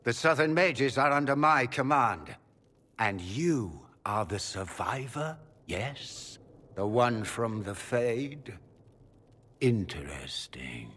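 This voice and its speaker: An actor playing a suave, calculating male magister with a husky voice and courtly, theatrical manners. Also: mid-range voice, expressive, slow, a bit bright. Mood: courtly menace. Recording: long hall reverb.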